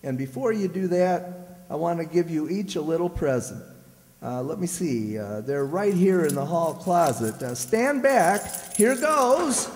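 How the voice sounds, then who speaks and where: A man playing a grouchy but soft-hearted old curmudgeon husband in a blustering old-time radio comedy style. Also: on the high side, very animated, a little slow. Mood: sheepish, soft-hearted. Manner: blustering, grumbling. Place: live stage in a hall. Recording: echoey hall, distant stage sound.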